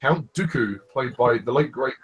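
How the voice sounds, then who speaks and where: Male fan commentator, sarcastic and blunt, mid-pitched plain voice. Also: lively intonation, moderate pace. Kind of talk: casual film commentary.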